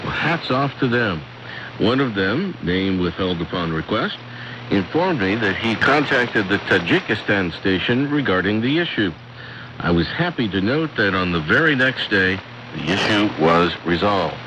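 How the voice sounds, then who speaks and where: A man's voice: fairly low pitch, sing-song, unhurried, muffled recording. A man hosting a radio program, reading a scripted report over a shortwave broadcast. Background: radio static and noise.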